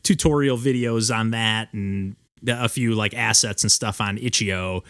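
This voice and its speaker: A man's voice: fairly low pitch, unhurried, fairly bright tone, clean tone, no room sound, studio quality. A man hosting a podcast, chatting casually over a remote call and rambling with lots of filler words and restarts. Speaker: podcast host. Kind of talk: casual interview chat.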